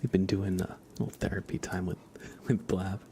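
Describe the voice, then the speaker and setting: A man hosting an ASMR livestream, speaking softly and breathily in a gentle, soothing, unhurried way, often slipping into a whisper. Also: low-pitched, little pitch movement, slight background noise. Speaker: livestream host. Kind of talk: ASMR livestream, chatting to viewers.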